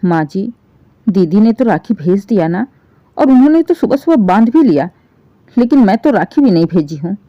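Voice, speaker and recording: A woman's voice: medium pitch, sing-song, moderate pace, dry recording, lo-fi sound, lightly acted. Timid, flustered young daughter-in-law, voiced by a woman. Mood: meek, anxious. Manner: hesitant, meek.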